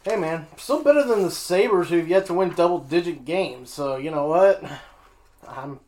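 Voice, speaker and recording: A man's voice: on the high side, expressive, normal speed, a little mellow, a little hiss or hum. Man joking through sports standings. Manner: joking, self-mocking, reading scores. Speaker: sports show host. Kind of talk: casual standings rundown.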